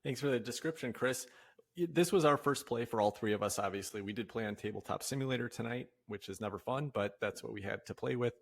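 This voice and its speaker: A man hosting a podcast in casual discussion, speaking conversationally and haltingly as he thinks aloud. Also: mid-range voice, creaky. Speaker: podcast host leading a discussion.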